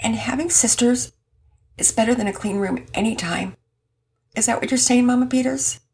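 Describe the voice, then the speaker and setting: A woman voicing a distraught young girl who talks high and fast, pleading and whiny. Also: big pitch swings, pushed and bright. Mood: subdued. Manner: high-pitched, rushed, pleading.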